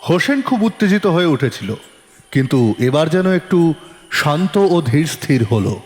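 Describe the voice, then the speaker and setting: A woman's voice: sits low, big pitch swings, normal speed, darkish tone. A woman narrating a detective story as a dramatic scripted audio production. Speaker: narrator, also voicing the detective. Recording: long reverb with music behind. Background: music.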